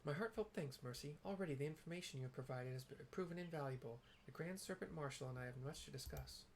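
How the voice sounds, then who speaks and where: A person reading game dialogue aloud as a formal, earnest fantasy character, with theatrical, archaic, deliberate delivery. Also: mid-range voice, a little hiss or hum, natural voice. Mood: gracious. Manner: theatrical, stately, deliberate wording.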